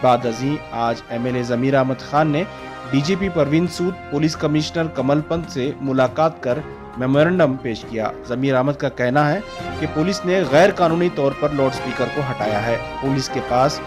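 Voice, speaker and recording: A man's voice: mid-range voice, normal speed. A male news anchor reading a formal, scripted news voice-over. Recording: voice-over with music bed.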